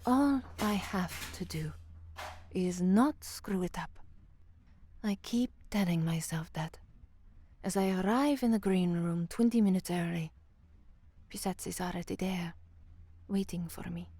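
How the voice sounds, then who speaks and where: An actress playing an anxious, earnest young woman from the slums in a dramatic first-person audio story, measured and introspective. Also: fairly low pitch, dark tone, no echo. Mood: weary, tense.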